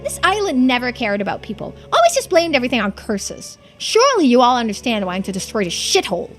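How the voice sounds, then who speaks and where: A woman's voice: a little high, huge pitch swings, fast, a bit bright, faint music behind, pitched below the performer's own voice. A woman voicing a bitter, menacing young villainess, gloating and unhinged, in a visual novel read-through. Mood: bitter, threatening.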